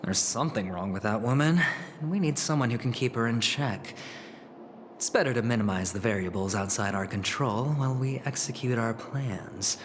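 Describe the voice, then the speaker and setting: A man playing a smug, scheming young gambler in a video game, teasing and dramatic, with hushed asides. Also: mid-range voice, normal speed, background noise, natural voice. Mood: scheming, confident. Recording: game music under the voice. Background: game music.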